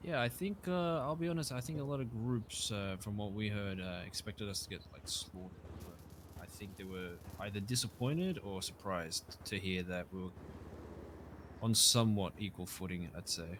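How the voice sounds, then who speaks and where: Low-ish guy in a roleplay, lots of uhs, kinda hesitant. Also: moderate pace, a little hiss or hum. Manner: hesitant, many fillers, soft trailing off. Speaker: roleplay player. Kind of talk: in-character roleplay chat.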